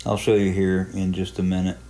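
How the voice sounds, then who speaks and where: A man giving a casual, unhurried tutorial narration, explaining a hobby software program step by step in plain terms. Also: low-pitched, monotone, a little hiss or hum. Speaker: amateur radio hobbyist presenting a software walkthrough.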